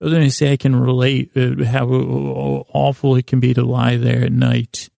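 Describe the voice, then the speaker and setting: Male sleep podcast host: heavy vocal fry, flat intonation, halting soothing rambles full of word stumbles. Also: mid-range voice, dry recording, studio quality. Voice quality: creaky. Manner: meandering, halting, stumbles over words. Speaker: podcast host and bedtime storyteller.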